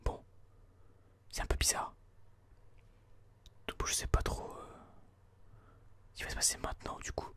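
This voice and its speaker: Whispering ASMR gamer, close and bright. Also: measured pace, long pauses, no echo. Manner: informal, hesitant, thinking aloud. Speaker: video game streamer, ASMR host. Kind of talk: whispered ASMR gameplay commentary. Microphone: close binaural microphone.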